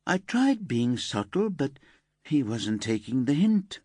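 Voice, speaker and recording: A man's voice: mid-range voice, big pitch swings, takes it slowly, warm tone, dry recording, clean studio sound, pitched above the performer's own voice. A man voicing a resentful teenage girl who whines and turns tearful. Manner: whiny and indignant, rising to wailing.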